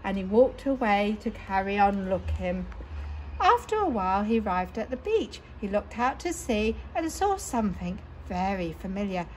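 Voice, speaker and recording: A woman reading picture books aloud to children in an animated, dramatic way. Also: medium pitch, moderate pace, slight background noise, poor audio. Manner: animated, dramatic, with exclamations and sound words. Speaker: children's storyteller at a library story time. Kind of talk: expressive picture-book read-aloud.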